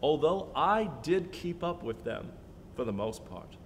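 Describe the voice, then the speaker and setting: A man's voice: on the high side, very animated, measured pace, big-room sound, slight background noise. A young man playing a somber young landlord who narrates in a subdued, reflective way. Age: young adult. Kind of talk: narration and dialogue.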